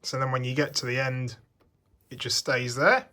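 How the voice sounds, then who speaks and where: A man's voice: medium pitch, steady tone, moderate pace, pushed and bright. A man casually demonstrating a vintage tape machine at his workbench, explaining its parts in a chatty, thinking-aloud way. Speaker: vintage electronics hobbyist. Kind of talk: casual hands-on product demonstration. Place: home workbench.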